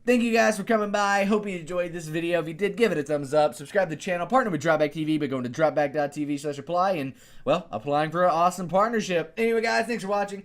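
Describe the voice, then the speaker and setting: A young American man with a fairly high voice talks straight to his viewers into a close desktop condenser mic. He is informal and rambling, often posing questions to himself as he gives his review. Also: fast talker, few pauses. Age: young adult. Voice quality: higher-register voice, not deep or bassy. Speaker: YouTube host reviewing microphones. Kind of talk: casual video review, chatty to camera. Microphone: desktop condenser studio microphone, close up.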